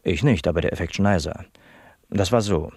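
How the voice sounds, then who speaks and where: Low-voiced earnest young office apprentice. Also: male voice, normal speed, darkish tone, slightly rough, dry recording, studio quality. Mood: earnest, reporting. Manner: matter-of-fact, officious jargon. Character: earnest, literal-minded young male office apprentice, proudly conformist. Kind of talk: satirical radio drama acting as an interviewee.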